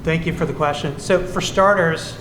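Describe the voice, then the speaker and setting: Man candidate, slightly high, echoey room. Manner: measured, policy-focused, slight restarts. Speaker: city council candidate. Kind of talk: candidate forum answer. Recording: echoey hall with noise.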